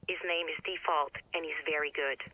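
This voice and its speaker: A woman's voice: sits low, steady tone, fairly quick, breathy edge, dry recording. An actress playing a cool, guarded young female hacker in a video game, clipped and matter-of-fact, over a phone call. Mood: cool, assured. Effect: phone call filter. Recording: phone call over faint outdoor ambience.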